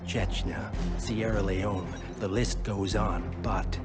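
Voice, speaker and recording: Game cutscene, grave intelligence boss, slow formal delivery, loud music behind. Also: male voice, lowish voice, steady tone. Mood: grave, insistent. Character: grave male intelligence chief briefing shadowy superiors. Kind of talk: cinematic video game dialogue. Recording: dramatic music under dialogue.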